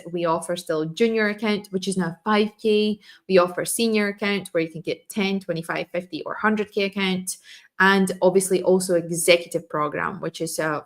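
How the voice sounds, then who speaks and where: Woman host losing her voice. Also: fairly low pitch. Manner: loose, restarting explanations. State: losing her voice, throat clearing. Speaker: trading stream host. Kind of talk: live trading stream talk.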